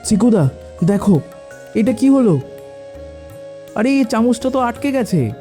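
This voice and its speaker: A man's voice: very high voice, sing-song, a little slow, warm tone, soft background music, exaggerated voice. A man voicing an anxious, cautious young helper in a cartoon, worried and pleading. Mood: alarmed. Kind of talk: cartoon story voice acting.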